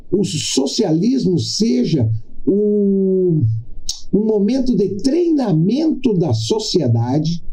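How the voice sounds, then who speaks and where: A middle-aged Brazilian man giving a political commentary monologue in a deliberate, didactic way, stressing points with repetition and pauses. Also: high-pitched, dark tone, poor audio. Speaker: podcast host.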